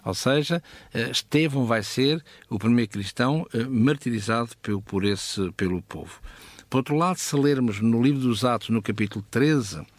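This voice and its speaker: A man with a European Portuguese accent expounding scripture conversationally as a Bible teacher, halting, with pauses and frequent check questions. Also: mid-range voice, dry recording.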